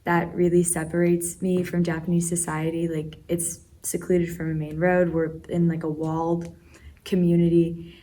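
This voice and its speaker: A woman's voice: on the low side, flat delivery, moderate pace, mellow. A teenage female student speaking casually, with many "like"s. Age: teens. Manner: casual, frequent "like". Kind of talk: opinion statement in a discussion.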